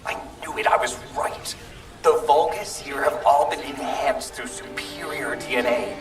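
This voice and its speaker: An actor playing an intense, scheming defector in a game, smug and self-absorbed, as heard on a captured recording. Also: male voice, mid-range voice, unhurried, lightly acted. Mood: smug, excited. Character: intense, scheming male defector gloating over discoveries. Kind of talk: scripted game dialogue. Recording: echoey distant captured recording, music behind.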